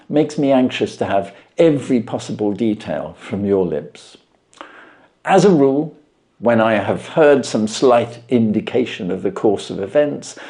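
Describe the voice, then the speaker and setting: A man voicing a self-assured gentleman detective in an audiobook, formal, courteous and emphatically articulate. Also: on the low side. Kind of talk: audiobook character reading.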